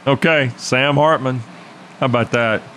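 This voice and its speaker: A man's voice: mid-range voice, a bit bright, a little hiss or hum. A man hosting a sports radio show, talking casually and joking with a guest and his co-host. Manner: joking, conversational, quick asides.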